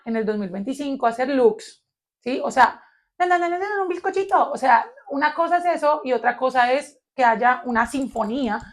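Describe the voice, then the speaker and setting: A Colombian woman with a Colombian accent, co-hosting a philosophy discussion and thinking aloud in an animated way, with many fillers. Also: on the high side. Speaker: podcast co-host. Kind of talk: philosophical round-table discussion.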